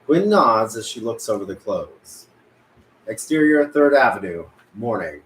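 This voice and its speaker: A man's voice: lowish voice, animated, unhurried, a bit bright. A man narrating stage directions at a script table read. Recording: echoey room, faint noise.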